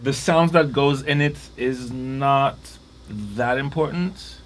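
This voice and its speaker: A man's voice: medium pitch, takes it slowly. A man in his thirties explaining gear in a matter-of-fact way in a music studio. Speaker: musician giving a studio tour. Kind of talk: casual chat. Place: wood-panelled music studio.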